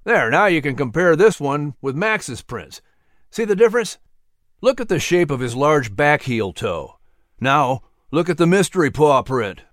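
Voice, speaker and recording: A man's voice: on the high side, very animated, unhurried, fairly bright tone, no echo, studio quality. A man voicing a patient uncle who explains the woods like a teacher.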